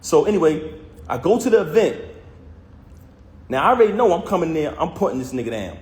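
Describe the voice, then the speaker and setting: A man's voice: a little high, big pitch swings, a little fast, a little mellow. A man telling viewers a personal story in casual, heated first person, emphatic and full of street slang. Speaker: vlogger recounting a personal conflict. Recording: roomy echo.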